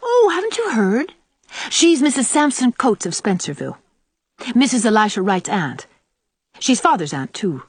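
Woman reading as a lively young country woman. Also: fairly high pitch, normal speed, husky, no echo, pitched above the performer's own voice, full character voice. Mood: chatty. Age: young adult. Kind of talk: audiobook character voice.

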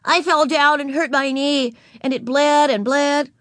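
A woman voicing a bold, loud, stubborn little girl of four, in a high childlike voice. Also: steady tone, a little slow, dry recording, clean studio sound. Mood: proud.

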